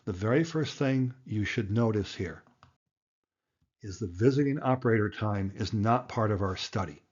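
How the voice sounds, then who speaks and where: Guy with a lowish voice doing a plain-spoken how-to lesson, kinda muffled lo-fi recording. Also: moderate pace, a little mellow, slightly rough. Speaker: amateur radio instructor and presenter. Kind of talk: explanatory tutorial talk.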